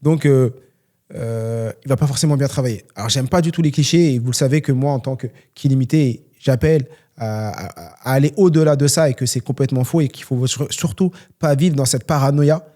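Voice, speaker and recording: A man's voice: mid-range voice, a little fast, mellow. A young man from France, talking earnestly and motivationally on a solo question-and-answer podcast, with many fillers. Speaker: podcast host and trainer.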